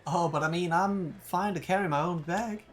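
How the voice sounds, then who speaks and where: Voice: mid-range voice, normal speed, slight background noise, natural voice. A streamer with a Danish accent voicing a polite, eager young woman who is new to an island, in an everyday voice.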